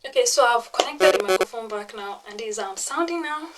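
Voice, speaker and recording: A woman's voice: on the high side, lively intonation, fast, heavy background noise. A young woman with a Nigerian English accent gives a casual phone-video tutorial from her bedroom. She is chatty, says um often, and repeats points for emphasis. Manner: chatty, repeats herself for emphasis, frequent ums. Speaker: tech and lifestyle YouTuber. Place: plain-walled bedroom, indoors. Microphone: small external clip-on mic.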